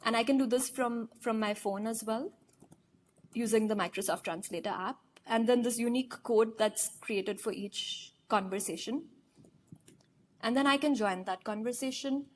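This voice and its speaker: Upbeat woman presenter. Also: fairly high pitch. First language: Hindi. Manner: brisk, upbeat, asks the audience questions. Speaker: conference presenter. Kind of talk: live product demo talk. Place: large conference hall.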